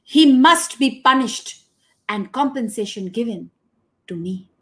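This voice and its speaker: A woman's voice: fairly high pitch, lively intonation, measured pace, voice pushed up. A woman voicing an aggrieved man, a thief's brother, indignantly demanding justice from a king in a told folktale.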